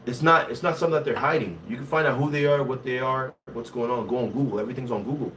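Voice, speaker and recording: A man from Haiti with a Haitian accent, hosting a casual livestream, talking in an animated way and reading viewer comments. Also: medium pitch, fast, far from the mic, a little hiss or hum, poor audio. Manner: switches between English and Haitian Creole, animated and conversational.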